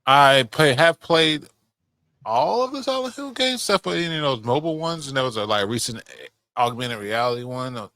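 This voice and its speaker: Bright-voiced man, blunt casual podcast talk. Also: medium pitch, animated, normal speed, no room sound. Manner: blunt, opinionated, swears freely. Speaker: podcast co-host. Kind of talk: casual chat about movies and games.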